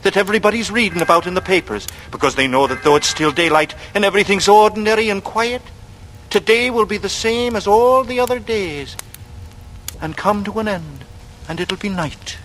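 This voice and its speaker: A man's voice: sits high, normal speed, a bit bright. A man playing a glib, brooding young drifter with a murderous streak, in theatrical, dreamy 1940s radio thriller style. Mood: brooding, rapt. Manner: theatrical speechifying, rambling and dreamy. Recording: noisy vintage radio drama recording.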